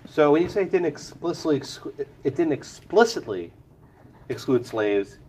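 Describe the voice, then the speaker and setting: A man's voice: on the high side, big pitch swings, moderate pace, heavy background noise. Congested male law professor quizzing a class. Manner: questioning, Socratic, calls on students by name. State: slightly congested, lower voice than usual. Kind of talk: classroom lecture with questions. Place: law school lecture room.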